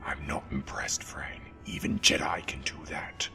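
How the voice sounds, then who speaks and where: Casting: sardonic sci-fi dark lord, menacing and impatient, bottomless whispery voice, loud score underneath. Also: male voice, very deep voice, fairly even intonation, vocal fry, over music, full character voice. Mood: cold, impatient. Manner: slow-burning threats, sardonic. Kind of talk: fan audio drama acting.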